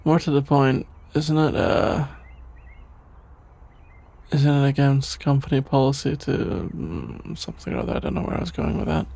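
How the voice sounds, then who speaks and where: A man playing a shy, sleepy young best friend in an ASMR roleplay, stammering, hesitant and evasive in a creaky, drowsy voice. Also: mid-range voice, sing-song, moderate pace, warm tone.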